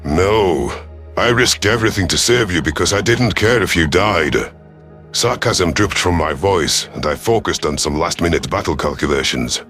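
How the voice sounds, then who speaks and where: A deep-voiced, gruff reptilian war commander acted by a man narrates a sci-fi audio story. He is proud, stern and sardonic, with faint music beneath the voice. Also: lively intonation, normal speed, few pauses, fairly bright tone. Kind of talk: first-person scripted audio story narration.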